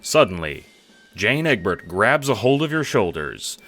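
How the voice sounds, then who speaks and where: A man's voice: fairly low pitch, big pitch swings, a little slow, over music. A man reading scripted second-person audiobook narration with a dramatic storytelling delivery.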